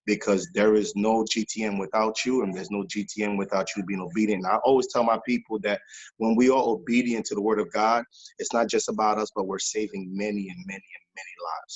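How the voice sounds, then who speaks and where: A man from Haiti, a pastor and music missionary, talking in an animated interview with many fillers and repeated phrases. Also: lowish voice, brisk, husky, dull audio. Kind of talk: casual interview testimony. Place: New York based, remote interview.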